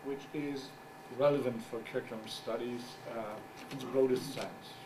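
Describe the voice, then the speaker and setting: A middle-aged man with a German first language gives a conference presentation, halting and searching for his English words. His voice sits at an ordinary middle pitch. It is picked up from a distance, with steady noise in the background. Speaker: journal editor giving a talk. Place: conference room.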